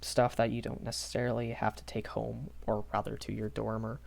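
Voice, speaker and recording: A man's voice: lowish voice, flat delivery, fairly quick, gravelly, darkish tone. A young man playing a shy, kindly magic academy professor in a rambling audio roleplay, stammering and hesitant. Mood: earnest, reassuring. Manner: hesitant, stammering, nervous chuckles, apologetic.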